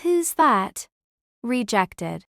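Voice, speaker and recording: A woman playing a cool, deadpan young woman senpai who talks in a flat, demanding way, in an audio drama. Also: a little high, drawn-out words, vocal fry, no echo, clean studio sound, full character voice. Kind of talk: amateur audio drama acting.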